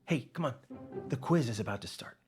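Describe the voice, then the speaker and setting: An actor playing a worn but gentle older family member, plain-spoken and reassuring. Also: mid-range voice, lively intonation, a little fast, music in the background, lightly acted. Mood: encouraging. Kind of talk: naturalistic screen drama dialogue.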